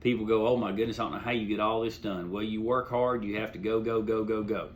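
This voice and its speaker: A man's voice: on the low side, steady tone, fast, a little hiss or hum. A middle-aged man giving an informal, folksy talk, telling stories and offering plainspoken advice, repeating phrases for emphasis. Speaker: host.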